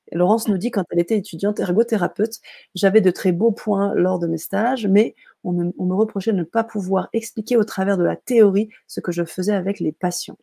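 Woman host reading chat comments, gravelly low-key voice, lots of euh. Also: no room sound, studio quality. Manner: warm, enthusiastic, hesitant filler-heavy reading. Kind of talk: hosting a live stream, reading viewer comments.

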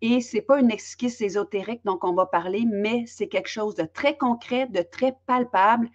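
A woman's voice: on the high side, moderate pace. A woman from Belgium hosting an online interview, speaking with frequent fillers and restarts as she introduces the topic and her guests. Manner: hesitant, many fillers and restarts. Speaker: host and interviewer. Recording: slightly dull lo-fi audio.

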